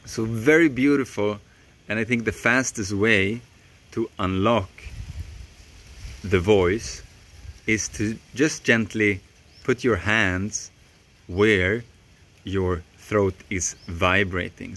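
A young man whose first language is Swedish talks straight into a camera held at arm's length, teaching outdoors in a tropical garden. His pace is very slow and unhurried, with long, deliberate pauses. His voice sits a little low and stays calm and even. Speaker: voice coach.

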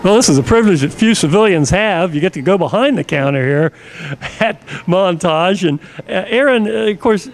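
A man's voice: high-pitched, expressive, moderate pace. An older American man hosting a casual on-location interview into a handheld microphone. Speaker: interviewer, show host. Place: indoors in a cafe, behind the counter. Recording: clear background noise on location.

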